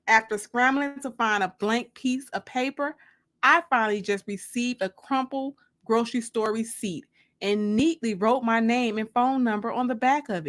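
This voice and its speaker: A woman giving an expressive first-person dramatic audiobook reading, with theatrical pauses. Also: fairly high pitch. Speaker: author reading her own novel.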